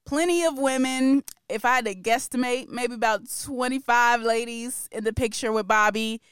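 A woman's voice: on the high side, normal speed, fairly bright tone, clear, resonant tone, no echo, studio quality. A woman co-hosting a casual pop-culture podcast, chatty and opinionated, laughing often. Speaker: podcast co-host.

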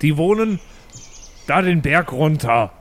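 A man's voice: a little high, expressive, slow. A man playing in an improvised tabletop role-playing game, chatting casually and speaking for his character. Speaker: tabletop role-play player. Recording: clear background noise, chirping night ambience.

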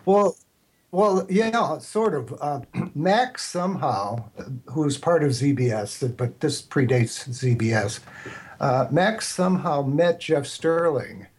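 An older man from the United States, an interview guest, reminiscing casually in a halting, meandering way. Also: a little high, lively intonation, breathy edge. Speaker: interview guest, radio producer.

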